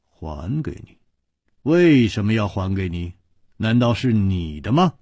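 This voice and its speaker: A man performs a brash storybook rascal who likes to drink and tease. He has a rough voice that swings widely, full of mutters, stammers and drawn-out interjections. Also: lowish voice, big pitch swings, darkish tone, no room sound, studio quality. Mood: taunting. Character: brash, teasing male drinker, a blustering rascal.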